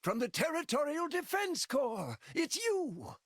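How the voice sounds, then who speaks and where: A man playing an excitable, nostalgic elderly villager in a video game cutscene, effusive and folksy. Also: very high voice, dry recording, clean studio sound, heavily acted. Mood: excited recognition. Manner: effusive, folksy, drawn-out exclamations.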